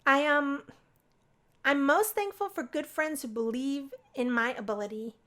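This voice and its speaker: Visual novel heroine, read by a woman: young college student, higher pitch, sincere, hesitant delivery. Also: measured pace, sharp tone. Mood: shy, sincere.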